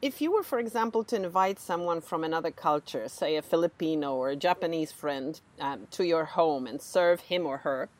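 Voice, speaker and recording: A woman interviewing guests, asking animated, probing questions. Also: sits low, normal speed, few pauses.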